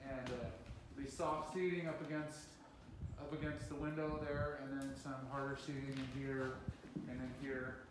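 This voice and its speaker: A man's voice: medium pitch, level tone, moderate pace. A man leading a casual walking tour of a building site, pointing things out with frequent fillers. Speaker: tour guide. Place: large unfinished timber building under construction. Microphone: camera from a distance. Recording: echoey room, distant mic, background noise.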